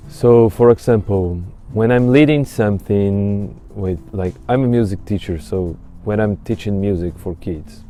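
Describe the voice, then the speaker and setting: A man in his thirties whose first language is Portuguese, a music teacher answering interview questions slowly, with many restarts. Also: fairly low pitch, mellow. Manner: slow, halting, searching for words. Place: outdoors in autumn woods.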